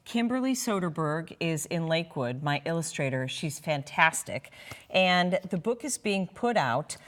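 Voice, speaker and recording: A woman with a slightly low voice is being interviewed in a TV studio. She talks conversationally, with enthusiasm and emphasis on her key points. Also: lively intonation, unhurried, fairly bright tone. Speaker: interview guest, children's author and anti-bullying ambassador. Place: television studio.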